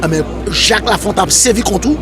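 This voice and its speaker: A man's voice: sits high, fast talker, a crowd in the background. A man, a commissioner, answering questions outdoors hesitantly, often checking for agreement. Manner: hesitant, seeks agreement with "d'accord". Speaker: police commissioner. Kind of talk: street interview. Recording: outdoor street traffic noise.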